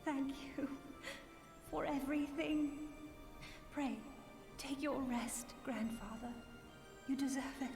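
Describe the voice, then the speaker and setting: A resolute young noblewoman in a fantasy game. Her high voice moves slowly through formal, tender lines. Long reverb surrounds it, with music underneath. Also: fairly even intonation. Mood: tender, sorrowful. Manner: formal, archaic, deliberate. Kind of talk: scripted dramatic game cutscene acting.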